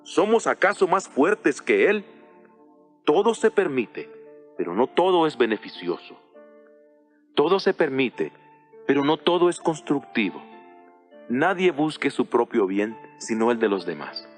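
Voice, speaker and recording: A man's voice: mid-range voice, very animated. A man playing the Apostle Paul as an earnest, solemn teacher exhorting his congregation in a dramatized audio Bible, with music behind him. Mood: urgent exhortation. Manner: solemn, exhortative, deliberate phrasing.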